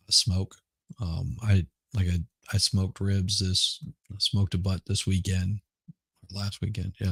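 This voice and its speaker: A middle-aged man chatting casually as a podcast host, hoarse and rambling, often repeating words and restarting. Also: low-pitched, no room sound. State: hoarse from smoke. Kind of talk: casual livestream chat.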